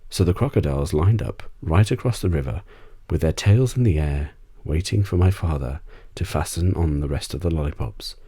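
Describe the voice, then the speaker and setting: A man narrating a bedtime story as ASMR, with a measured storytelling delivery. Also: extremely low pitch, dark tone, breathy edge. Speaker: audiobook reader.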